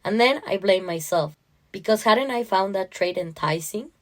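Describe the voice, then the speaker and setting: A woman reading scripted first-person literary fiction in a measured, expressive way. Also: mid-range voice. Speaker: story narrator.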